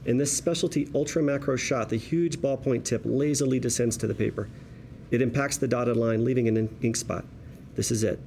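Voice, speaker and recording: Male stage-directions reader: brisk pace, medium pitch, scripted screenplay narration, slight background noise. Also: fairly even intonation, gravelly, darkish tone.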